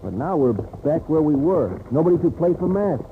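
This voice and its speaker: Earnest western sidekick, respectful, careful plain speech, expressive pitch, noisy thin vintage radio drama. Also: male voice, normal speed. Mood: dutiful, doubtful. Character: earnest, soft-mannered young Navajo mission-raised helper. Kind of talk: old-time radio western acting. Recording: very noisy, narrow-band old radio recording.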